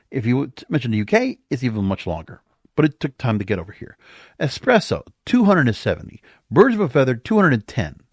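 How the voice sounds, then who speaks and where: A man's voice: a little high, dry recording, clean studio sound. A man hosting a podcast, giving casual solo commentary in an emphatic way, full of rhetorical questions. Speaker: podcast host.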